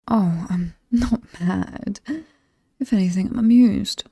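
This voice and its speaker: Woman as mysterious British demon hostess for horror ASMR: mellow, very close and dry, breathy whispers, playful menace. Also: mid-range voice, no echo. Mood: playful, alluring. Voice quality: breathy, often dropping to a whisper. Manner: slow, teasing, coolly amused and aristocratic. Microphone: close, dry microphone.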